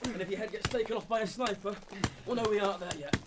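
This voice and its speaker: Casting: male actor as seasoned WW1 sapper, higher-pitched, gruffly dry, over noisy clicking track. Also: fast talker, lightly acted. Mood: wry. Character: wry, seasoned male First World War army tunneller. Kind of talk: audio drama acting.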